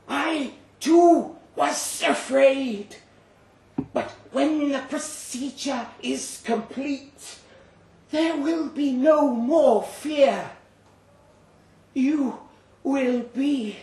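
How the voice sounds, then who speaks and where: High rasping creature, sinister storybook monster doctor, patronising, very slow and deliberate, echoey room. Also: male voice, a little mellow, full character voice. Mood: menacing reassurance. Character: sinister, gently condescending Frankenstein's monster doctor with metal teeth. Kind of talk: dramatic storybook reading.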